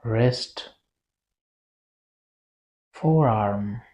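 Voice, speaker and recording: A meditation guide leading a yoga nidra relaxation in a soothing, deliberate way, with long pauses between short phrases. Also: medium pitch, mellow.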